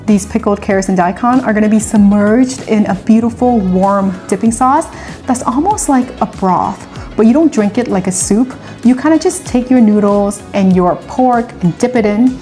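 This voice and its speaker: A woman talks through a recipe as the host of a cooking video, chatty and relaxed with plenty of personal asides. Her voice sits in an ordinary middle pitch. Soft music plays faintly underneath. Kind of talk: cooking tutorial with personal chat. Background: faint background music.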